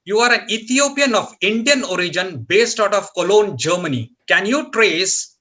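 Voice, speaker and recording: A man's voice: high voice, expressive, normal speed, sharp tone. A man hosting a talk show over a video call, introducing a guest in an announcer-like, emphatic way. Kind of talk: formal guest introduction and interview questions.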